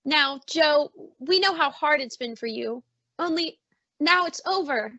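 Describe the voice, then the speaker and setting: A woman acting a doting, fussing mother in an old-time radio suspense play, with a gently chiding way of talking. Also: high voice, animated, measured pace, dry recording, heavily acted. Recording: slightly dull, muffled audio.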